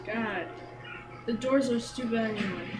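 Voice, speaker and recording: A young woman teasing her friend with deadpan jokes while they play a game together. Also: a little high, a little slow, very noisy. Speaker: gamer. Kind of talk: casual gameplay chat.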